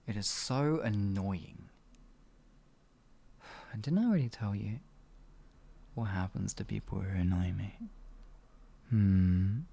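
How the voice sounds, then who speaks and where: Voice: deep voice, slow pace, vocal fry, pauses often, mellow, heavily acted. A performer voicing an evil, sadistic sorcerer in an ASMR fantasy roleplay, theatrical and condescending, stretching words and slipping into menacing whispers. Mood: condescending, sinister. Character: evil, sadistic, all-powerful sorcerer, gloating and cruel.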